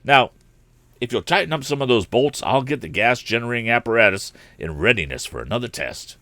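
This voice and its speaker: A man voicing a practical adult aeronaut in a storybook, with a matter-of-fact, brisk manner. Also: medium pitch, expressive, pushed and bright, no room sound. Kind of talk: audiobook character voice.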